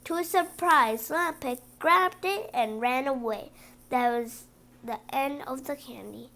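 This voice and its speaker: Slow, high-pitched woman reading a storybook. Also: gravelly, pushed and bright. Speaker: storybook reader. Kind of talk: children's read-aloud with asides.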